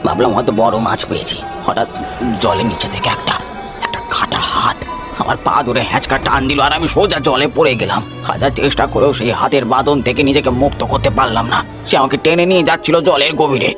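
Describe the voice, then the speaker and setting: A man's voice: mid-range voice, quick pace, close to an everyday voice. A man voicing a village fisherman in a cartoon ghost story, colloquial and theatrical. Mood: shaken, frightened. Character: cocky village fisherman, father, scoffing at ghosts. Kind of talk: Bengali animated ghost story voice acting. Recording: music behind the voice.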